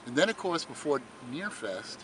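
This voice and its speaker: A man's voice: fairly high pitch, big pitch swings, moderate pace. An older man chatting casually in an outdoor interview, rambling and reminiscing. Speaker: longtime radio swap meet vendor. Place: outdoors at a radio swap meet. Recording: loud outdoor ambience, vehicle noise.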